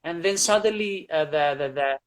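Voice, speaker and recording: Tired, halting man from Syria. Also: on the high side. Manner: halting, repeats words, tired. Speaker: guest. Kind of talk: casual live-stream chat.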